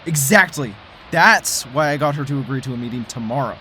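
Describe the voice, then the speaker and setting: A man's voice: medium pitch, expressive, brisk, sharp tone. A man playing a tired, determined former conspiracy theorist, earnest in delivery, with a noisy background. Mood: eager. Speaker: lead character.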